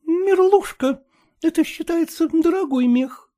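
A man's voice: extremely high pitch, unhurried, dark tone, pitched above the performer's own voice. A man doing a bossy, teasing young lady. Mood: teasing scolding. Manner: scolding, teasing.

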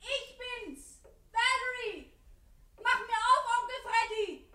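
An actress playing a young niece calling out to relatives in a German radio crime drama, echoey and distant. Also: female voice, very high-pitched, takes it slowly, heavily acted. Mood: calling, cheerful. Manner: calling out loudly.

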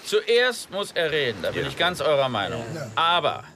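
A man's voice: a little high, huge pitch swings, normal speed, sharp tone, full character voice. A man playing an insistent special forces soldier in a radio drama, arguing emphatically with comrades. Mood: urgent, persuasive. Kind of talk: naturalistic radio drama dialogue.

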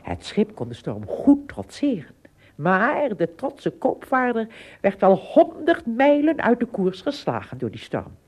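A woman narrating a scripted story in an expressive, measured storytelling manner. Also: mid-range voice.

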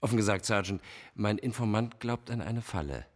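An actor playing a suave, manipulative villainous psychiatrist in a radio crime drama, measured and courteous. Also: male voice, on the low side, expressive. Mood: feigned concern.

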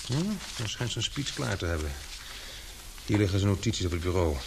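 An actor playing a courteous, eager newspaper reporter in a Dutch radio play, well-spoken and a little hesitant. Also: male voice, low voice, fairly quick, gravelly, background noise. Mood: mild, conversational. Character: polite, eager male newspaper reporter, Paul Garnet.

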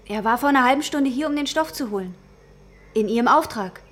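An actress playing a guarded young waitress in a radio crime drama. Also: female voice, fairly high pitch, expressive, fairly quick, fairly bright tone. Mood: matter-of-fact. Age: young adult.

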